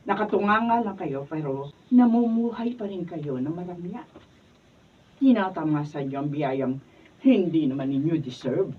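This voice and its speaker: A woman's voice: fairly low pitch, animated, moderate pace, breathy edge. A woman playing a scornful lady lawyer. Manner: scolding, sharp rebukes. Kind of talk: radio drama acting, read from script. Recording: tinny, phone-like, mic from a distance.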